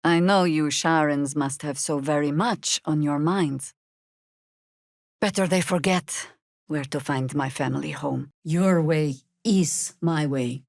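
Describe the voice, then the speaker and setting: An actress playing a battle-hardened older druid warrior in a video game, with a dry, sardonic, commanding manner. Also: female voice, deep voice, slow, gravelly, darkish tone, no echo, full character voice. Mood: grave, sardonic. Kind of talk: video game character voice acting.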